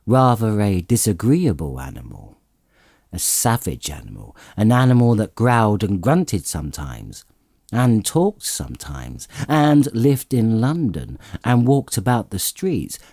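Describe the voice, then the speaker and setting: A man narrating a classic story as an audiobook, reading in an expressive, theatrical way with dramatic emphasis. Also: fairly low pitch, no echo, clean studio sound.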